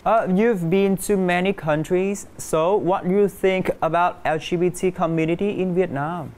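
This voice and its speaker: A woman's voice: fairly low pitch, fairly even intonation, noisy background. A woman hosting a casual interview, hesitant and full of fillers. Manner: slow and hesitant, many fillers. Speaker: host.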